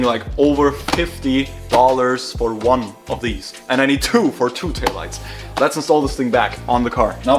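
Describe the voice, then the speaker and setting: A man with a German accent, a native German speaker, chatting casually to camera as a car build vlogger who laughs at himself. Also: mid-range voice. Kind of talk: casual vlog narration. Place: car workshop.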